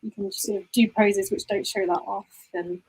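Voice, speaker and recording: A woman's voice: mid-range voice, steady tone, normal speed, darkish tone, husky, rough recording. A woman photographer answering interview questions in a chatty way, often saying "you know" and restarting sentences. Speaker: guest photographer.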